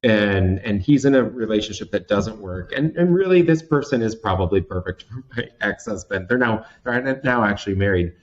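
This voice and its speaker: A man's voice: fairly low pitch, lively intonation, normal speed, hardly any pauses, highs cut off. A man in his thirties from Washington State, telling a personal story as an interview guest in a theatrical, self-mocking way with many restarts. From Washington State, USA. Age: 30s. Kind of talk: candid storytelling in conversation.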